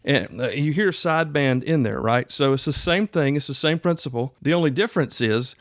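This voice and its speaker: A man's voice: a little high, animated, brisk, no room sound, studio quality. A middle-aged man hosting a podcast, explaining things in a casual, folksy, conversational way. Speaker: podcast host. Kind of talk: casual instructional podcast talk.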